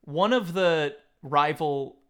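Higher-voiced man hosting a casual chat. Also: slow, a bit bright. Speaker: podcast host. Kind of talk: casual chat, some reading aloud from a book.

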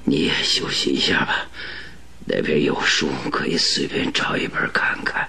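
A gravely sick, imperious detective in a radio play. His low voice swings widely between breathy, halting gasps and irritable commands. He sounds laboured and short of air. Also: lively intonation, pushed and bright, faint music behind, full character voice. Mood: weak, secretive. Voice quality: breathy, gasping, creaky. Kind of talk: radio drama acting.

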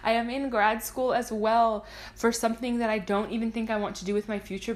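Rambling self-deprecating young woman podcaster. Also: medium pitch. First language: Spanish. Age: 20s. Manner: rambling, self-deprecating, swears freely, goes on tangents. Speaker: podcast host. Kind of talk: casual solo podcast monologue.

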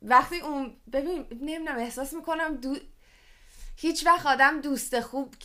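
A woman's voice: sits high, pushed and bright. A woman in a casual podcast chat, speaking informally and mixing English words into her speech. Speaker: podcast guest or co-host.

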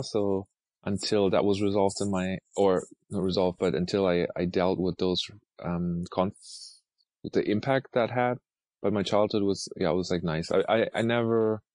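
A man from Germany and Norway, an artist answering interview questions in a soft, hesitant way with many fillers and restarts. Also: low voice, dry recording. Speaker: artist guest.

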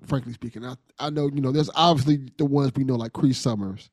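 A man's voice: mid-range voice, fast talker, darkish tone, no echo. Casual male podcast host, dry sound. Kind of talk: casual conversational interview.

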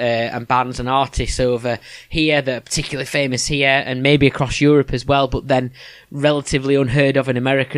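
A man's voice: mid-range voice, fairly bright tone, no echo. Male podcast host, Yorkshire accent, chatty and chuckling, dry close sound. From England. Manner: chatty, chuckling, with many restarts. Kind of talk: casual interview chat.

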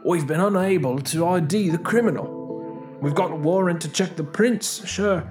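Man playing a high-voiced, polite policeman. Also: moderate pace, over music, close to an everyday voice. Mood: apologetic, matter-of-fact. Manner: formal, apologetic. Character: polite male police officer reporting a theft. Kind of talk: dramatized podcast fiction.

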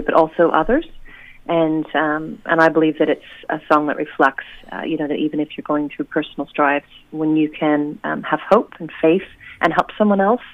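A woman from Oregon with an American accent slightly shifted by New Zealand, chatting bubbly as a musician guest, laughing often and using many fillers. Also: deep voice. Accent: American with a New Zealand tinge. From Oregon, USA. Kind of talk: casual interview chat. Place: at home in New Zealand, over a call. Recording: tinny phone line.

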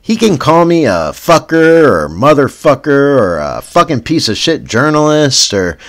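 A man with a Canadian accent hosting a spoof news podcast, reading headlines deadpan and drifting into rambling stoner asides that trail off with "man.". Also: mid-range voice, unhurried. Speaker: comedy news podcast host.

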